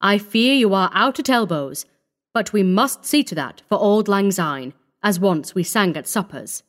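A woman's voice: mid-range voice, sing-song, measured pace. A woman voicing a wealthy elderly male physician in an audiobook story, dignified but flustered, placating and condescending.

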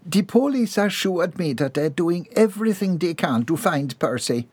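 A poised, motherly matron, as a male narrator voices her in a storybook. She speaks raised and measured, firm under the calm. Dry, close recording. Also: sits high, husky, dry recording, pitched above the performer's own voice. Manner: soothing but stern. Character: composed, motherly middle-aged matron.

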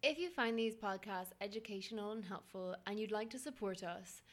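A woman's voice: medium pitch, brisk. Woman hosting a podcast. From Ireland. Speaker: podcast host. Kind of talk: scripted podcast introduction. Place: room in a city with traffic outside.

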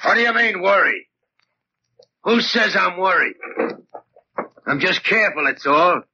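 A man's voice: high-pitched, expressive, measured pace, creaky, sharp tone, heavily acted. An actor playing a suspicious, hot-tempered gambler with snapping tough-guy talk in a 1940s radio drama. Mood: touchy, defensive. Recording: muffled, noisy, clicking old radio recording.

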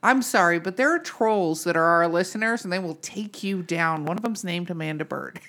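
A woman's voice: lowish voice, moderate pace, very noisy. A woman co-hosting a podcast with casual, teasing and blunt banter. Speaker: podcast co-host.

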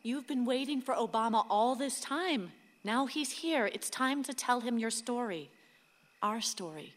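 The tender, sorrowing spirit of a young wife and mother for a radio drama. The voice is fairly high and hangs in the reverb of a hall. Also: lively intonation, measured pace. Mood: tender, sorrowful. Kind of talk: stage-like radio play acting.

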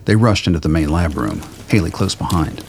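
A man's voice: sits low, expressive, fairly quick, slight background noise. A man narrating a scripted radio play in a storytelling style, as the dramatic narrator.

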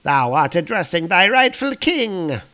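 A man's voice: sits high, very animated, a little slow, bright, forceful tone, pitched above the performer's own voice, exaggerated voice. A man voicing a gloating, scheming usurper prince in a comic-book story, with haughty, theatrical archaic speech.

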